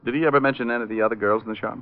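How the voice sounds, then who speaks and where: An actor playing a cocky, glib private detective who teases and narrates wryly, in 1940s radio drama style. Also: male voice, mid-range voice, fairly even intonation, racing, close to an everyday voice. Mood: probing, casual. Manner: glib, wry, teasing. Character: wisecracking cocky male private detective, breezy and flirtatious. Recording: muffled old radio drama, music behind.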